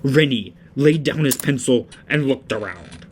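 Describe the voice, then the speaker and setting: A man's voice: mid-range voice, animated, measured pace, slight background noise. A man reading scripted storybook narration for an audio drama.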